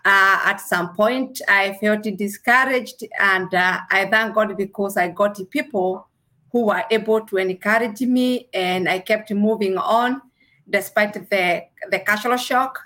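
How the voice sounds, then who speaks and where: A woman from Kenya with a Kenyan accent, a registered nurse answering interview questions earnestly, with measured pauses. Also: medium pitch, sharp tone.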